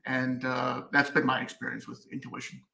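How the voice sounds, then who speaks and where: Male participant on a video call, very bright sharp tone, roomy echo, relaxed and unscripted. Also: medium pitch, creaky. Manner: relaxed, unprepared, halting. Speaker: audience participant.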